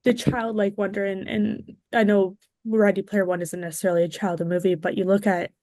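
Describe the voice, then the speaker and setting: A woman's voice: medium pitch, little pitch movement, fairly quick, mellow, dry recording. A woman talking casually as a panelist on a podcast. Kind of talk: casual chat.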